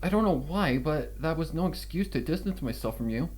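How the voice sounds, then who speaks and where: A man's voice: a little high, animated, brisk, a little mellow, poor audio. A man voicing an anxious, self-blaming female pony in a fan fiction reading, tentative and worried.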